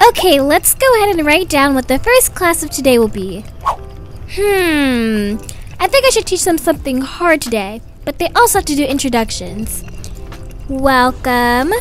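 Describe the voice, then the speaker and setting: A woman's voice: sits high, sing-song, moderate pace, faint music behind. A woman voicing a perky, self-satisfied kindergarten teacher who chats and instructs in a roleplay story. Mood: pleased, chatty. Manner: chatty, self-admiring, instructing. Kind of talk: animated doll-game roleplay voice acting.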